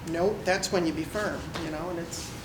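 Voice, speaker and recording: A woman's voice: on the low side, normal speed. Woman from audience, folksy, candid, echoey room with noise. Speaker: audience member. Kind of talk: personal story from the audience. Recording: roomy hall with clear noise.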